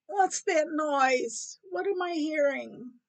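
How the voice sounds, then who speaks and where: A woman voicing a hungry, vain and menacing female cat in a folktale, with drawn-out, demanding, emphatic delivery. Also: high voice, expressive, voice pushed up, full character voice. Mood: puzzled, woken. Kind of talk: oral folktale character voice.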